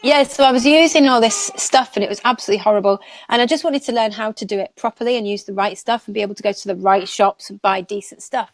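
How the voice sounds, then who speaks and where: A woman's voice: mid-range voice, quick pace, few pauses, no echo. Nail tech lady chatting away, rambly, dry close mic. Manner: chatty, rambling, laughs often. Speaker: guest nail technician. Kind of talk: casual live chat.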